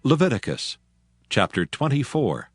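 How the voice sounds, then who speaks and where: A man's voice: mid-range voice, big pitch swings, measured pace, breathy edge, dry recording, studio quality. A man narrating scripture in a formal, solemn storytelling manner from a script. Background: music.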